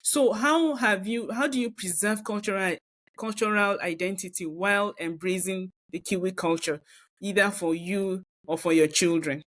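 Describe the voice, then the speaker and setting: A woman with a Nigerian accent hosting a casual interview, asking measured questions and often giving short agreeing replies. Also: mid-range voice.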